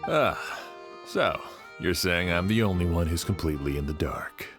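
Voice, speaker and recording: A man playing an earnest, puzzled officer in an anime game, explaining himself in a measured, candid way. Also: sits low, lively intonation, over music. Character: earnest, puzzled male officer in charge of men. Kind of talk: anime game character acting.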